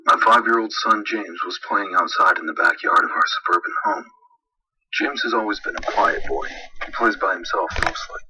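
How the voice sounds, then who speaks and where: A man's voice: low voice, normal speed, sharp tone, far from the mic, a little hiss or hum, low-quality recording. A man reading a horror story as a dramatic narrator. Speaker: creepypasta narrator. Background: music.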